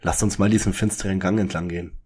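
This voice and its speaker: A man's voice: low voice, fairly even intonation, fast, a little mellow, a little hiss or hum, close to an everyday voice. A man playing a practical, eager and wry young detective sidekick in a parody audio drama. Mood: brisk, practical.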